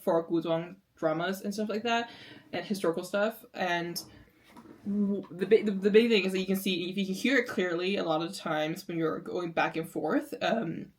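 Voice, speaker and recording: A woman's voice: fairly low pitch, moderate pace, slight background noise. Rambling American woman reactor. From United States. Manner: rambling, lots of filler "like". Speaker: series reactor. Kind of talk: casual reaction commentary.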